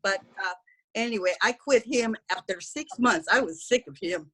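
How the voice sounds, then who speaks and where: A woman's voice: mid-range voice, animated, normal speed, fairly bright tone, husky. A woman voicing a brash, gossipy middle-aged housekeeper, talking bluntly in broken English. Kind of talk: character stand-up comedy.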